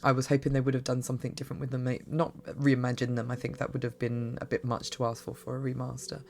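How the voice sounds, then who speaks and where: A woman with a bad cough and a croaky, hoarse voice, giving a casual, rambling spoken review that often restarts mid-sentence. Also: extremely low pitch, completely flat delivery, fast, a little mellow, a little hiss or hum. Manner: rambling, gushing, many restarts. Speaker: game reviewer.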